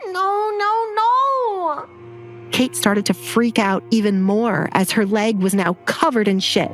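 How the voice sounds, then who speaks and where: A woman's voice: mid-range voice, steady tone, normal speed. Female narrator, mid-range, dramatic scripted storytelling, music bed underneath.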